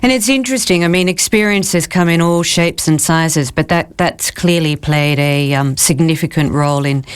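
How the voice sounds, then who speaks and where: A woman with a low voice hosts a podcast interview. She speaks in a measured way and leans on key words as she introduces her guest and asks her questions. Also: clear, resonant tone. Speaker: podcast host.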